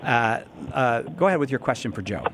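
A man's voice: mid-range voice, lively intonation, a little fast, a bit bright, slight background noise. A man hosting a live radio call-in show, chatty and friendly, often correcting himself. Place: radio studio.

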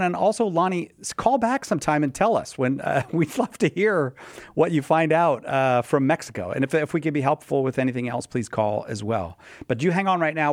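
A man's voice: medium pitch, dry recording, clean studio sound. A man hosting a live radio call-in show, chatty and friendly, often correcting himself. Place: radio studio.

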